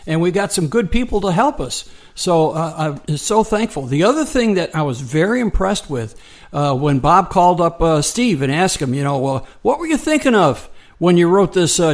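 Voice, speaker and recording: An American man co-hosting a political talk radio show, speaking conversationally and earnestly, often circling back over his phrases. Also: a little high.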